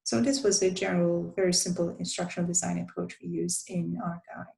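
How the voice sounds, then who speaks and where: A woman presenting a design guide, a native Turkish speaker who talks in a halting way with many fillers and restarts. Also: fairly low pitch, level tone, gravelly, dark tone, poor audio. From Turkey. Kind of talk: conference presentation.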